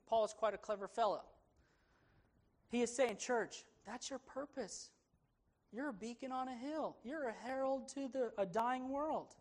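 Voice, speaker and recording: A man preaching an expository sermon in a church, earnest and emphatic, his delivery rising and falling for emphasis. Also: high-pitched. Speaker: pastor.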